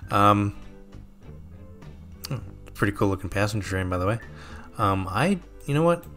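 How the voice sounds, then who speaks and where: A man with a fairly low voice talks through a game session at an easy pace, musing aloud and correcting himself as he goes. His throat is slightly sore, and soft game music plays faintly beneath him. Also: moderate pace. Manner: relaxed, thinking aloud with frequent ums and self-corrections. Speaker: gameplay commentator. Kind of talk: casual let's-play commentary.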